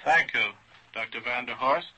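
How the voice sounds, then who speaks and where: A man moderating a formal scientific panel in a mid-century radio style, deliberate and courteous. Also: mid-range voice, pushed and bright, breathy edge. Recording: dull vintage radio recording.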